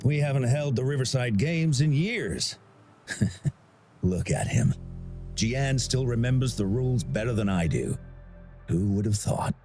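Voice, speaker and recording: A man's voice: mid-range voice, unhurried, pauses often, soft background music. An actor playing a genial, talkative older man who directs a sporting festival in a video game. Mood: nostalgic. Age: middle-aged. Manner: genial, chatty, expressive.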